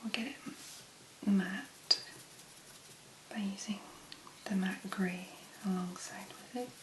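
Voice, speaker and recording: A woman doing soft-spoken ASMR make-up commentary in a breathy, mostly whispered voice, musing gently as she works. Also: mid-range voice, reverberant, noisy background. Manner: slow, gentle, unhurried musing. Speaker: ASMR video creator.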